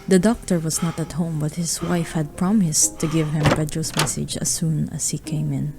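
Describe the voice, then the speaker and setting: Woman reading story narration for radio play, deep for a lady, bit gravelly, music behind. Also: steady tone, moderate pace, mellow.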